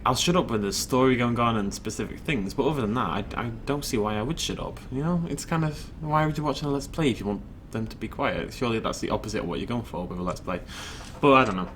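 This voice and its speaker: A man's voice: fairly low pitch, fairly bright tone, a little hiss or hum. A man casually rambling while commenting on his own gameplay, chatty and self-deprecating. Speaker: let's play host.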